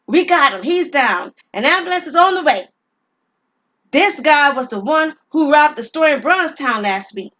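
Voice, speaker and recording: Woman playing a loud, high-pitched commanding police officer. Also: lively intonation, normal speed, muffled recording. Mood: brisk, assured. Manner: forceful, official.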